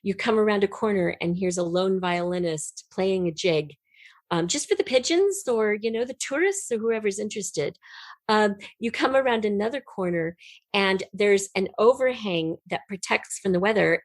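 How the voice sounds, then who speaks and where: A woman speaks as an interview guest, telling stories in an easy conversational way. Her manner is effusive and full of enthusiasm. Her voice sits at a middle pitch, recorded very dry with little room sound. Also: dry recording. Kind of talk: animated conversational storytelling.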